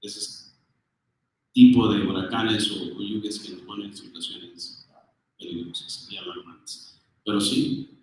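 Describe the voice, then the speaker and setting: A man's voice: on the low side, flat delivery, normal speed, pauses often, slight background noise. A man addressing a business audience in an earnest, emphatic way, with hesitations and fillers. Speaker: official speaker at a business briefing. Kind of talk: public address switching between languages. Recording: echoey room, far from the mic.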